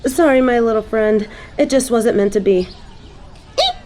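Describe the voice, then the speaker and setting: A woman playing a snobby but secretly soft fallen angel in a scripted audio roleplay, with prim, formal phrasing. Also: a little high, animated, a little slow. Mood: delighted, giggly.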